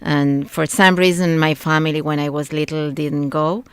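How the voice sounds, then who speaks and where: A woman's voice: low voice, level tone, normal speed, clean tone, no echo. A middle-aged woman with an Argentine Spanish accent, chatting about her life as an interview guest. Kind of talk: conversational personal storytelling.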